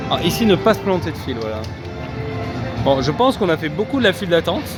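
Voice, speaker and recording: A man's voice: on the high side, sing-song, quick pace, loud music behind the voice. A man casually vlogging from a busy theme park, chatting to his viewers with lots of fillers. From France. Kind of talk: casual vlog commentary.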